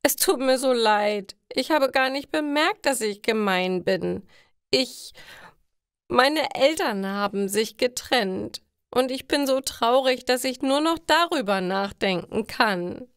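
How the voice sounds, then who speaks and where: Storybook young girl, bossy yet fragile, high and very animated, played by a female narrator. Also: takes it slowly, clean tone, dry recording, clean studio sound, exaggerated voice. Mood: tearful, sorry. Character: bossy young girl, later tearfully sorry.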